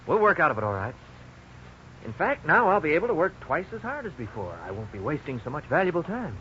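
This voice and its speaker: An actor playing a relieved, good-humored, optimistic husband in a 1950s radio drama. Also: male voice, on the high side, sing-song, fast, pushed and bright. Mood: relieved, wry. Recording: muffled, hissy 1950s radio recording.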